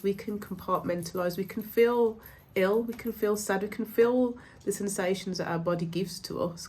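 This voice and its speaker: A woman's voice: lowish voice, a little hiss or hum. A woman, stuffed up with a cold, talking casually and reassuringly as a mind-body coach, with many ums. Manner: gentle, reassuring, rambling with frequent ums. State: congested from a cold. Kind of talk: casual talk to camera.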